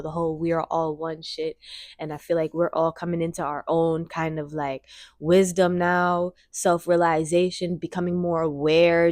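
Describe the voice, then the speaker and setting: A woman in her twenties talking straight to camera in a casual, rambling vlog, excited and repeating her phrases, speaking into a handheld microphone. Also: low-pitched, no room sound, studio quality. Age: 20s. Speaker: vlogger.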